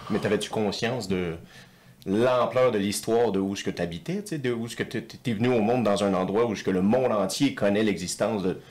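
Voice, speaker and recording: A man's voice: lowish voice, a little fast, a little mellow. A man with a Québécois accent co-hosting a podcast, asking questions in a playful, teasing way. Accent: Québécois French. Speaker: podcast co-host. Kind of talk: casual chat. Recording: noisy background.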